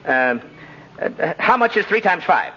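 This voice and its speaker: A pompous, flustered little official, high and sharp in voice, with swooping blustery melody. He is easily offended, in the manner of 1950s radio drama, on a dull old broadcast recording. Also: big pitch swings, measured pace, breathy edge, heavily acted. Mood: irritated. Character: pompous, nervous, easily offended store examiner.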